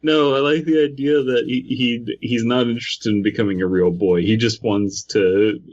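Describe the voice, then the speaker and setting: A man's voice: mid-range voice, fairly even intonation, normal speed, a little mellow. A man co-hosting a comedy podcast, riffing crudely and absurdly in casual chat with friends. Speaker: podcast co-host. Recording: dull lo-fi voice track.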